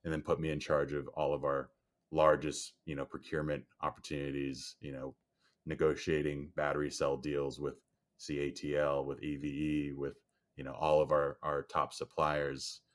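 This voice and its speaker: Man, native Chinese speaker, very low voice, monotone, measured, lots of "you know". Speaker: guest, storage company executive. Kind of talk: podcast interview answer.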